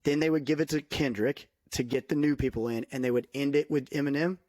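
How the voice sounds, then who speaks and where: A man in casual podcast talk, lively and laughing, with frequent "dude" and "like". His voice sits at a middling pitch. The sound is dry and close. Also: a little fast, dry recording. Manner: animated, laughs often, many fillers like "dude". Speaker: podcast guest. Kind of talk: casual chat.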